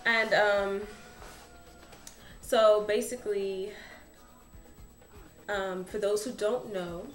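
A young woman talks animatedly to a camera a few feet away, explaining things in a chatty vlog style. Her voice sits at a middle pitch, and the small room adds a noticeable echo. Faint music plays underneath. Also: fairly even intonation, drawn-out words, long pauses. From Bahamas. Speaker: vlogger.

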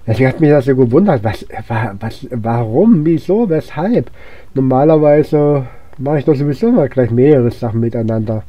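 Hoarse man rambling over gameplay. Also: medium pitch, no echo, highs cut off. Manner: rambling, many fillers and restarts. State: hoarse from a cold. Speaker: let's play gamer. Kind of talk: casual gameplay commentary.

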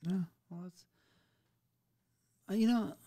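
Brief: middle-aged male host, rather high voice, boisterous, crude humor, studio mic. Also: fairly even intonation, slow pace, dark tone. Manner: boisterous, crude jokes, laughs a lot. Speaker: podcast host. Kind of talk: casual interview chat. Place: small podcast studio indoors. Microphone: studio microphone, wearing headphones.

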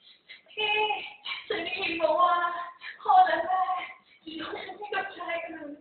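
A woman's voice: extremely high pitch, fairly even intonation, a little slow, pitched above the performer's own voice. A woman voicing a rich man's young daughter who pleads emotionally with her lover in a staged folk tale. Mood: pleading. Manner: pleading, emotional, dramatic delivery. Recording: distant, phone-like, echoey hall with noise.

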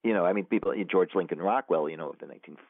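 A man, an author being interviewed, talking in a halting, somber way with many restarts. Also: low-pitched, no echo. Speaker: author guest. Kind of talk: casual interview talk. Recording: tinny phone line.

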